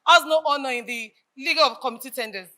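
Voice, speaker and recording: A woman's voice: sits high, fast talker, bright, forceful tone. A woman acting on stage as a sceptical, questioning figure with a Nigerian accent, theatrical and challenging in her dialogue.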